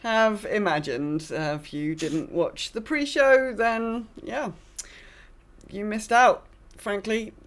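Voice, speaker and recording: A woman's voice: mid-range voice, slow. A woman co-hosting a podcast in casual chat, with a hesitant, dryly understated way of talking. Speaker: podcast co-host.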